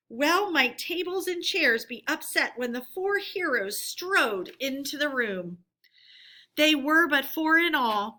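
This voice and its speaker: A woman storyteller with a fairly high, bright voice reads a children's tale aloud. Her delivery is expressive and forward, clearly shaped for read-aloud narration.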